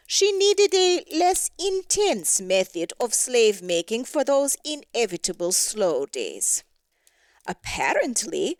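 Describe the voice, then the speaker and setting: Female storybook narrator, fairly high voice, very animated, with a wry theatrical delivery. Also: no room sound. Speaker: story reader. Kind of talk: expressive scripted storybook narration.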